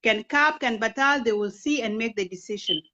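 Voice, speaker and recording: Woman, 30s, raised in Kenya; earnest, persuasive interview guest, slightly higher voice, dull lo-fi sound. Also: moderate pace, pushed and bright. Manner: earnest, persuasive, asks rhetorical questions. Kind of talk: impassioned political talk.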